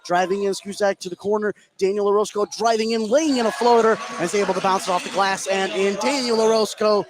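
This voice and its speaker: A man doing live radio play-by-play of a basketball game, getting excitable on big plays. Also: high-pitched, fast talker, few pauses, crowd noise. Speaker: play-by-play commentator.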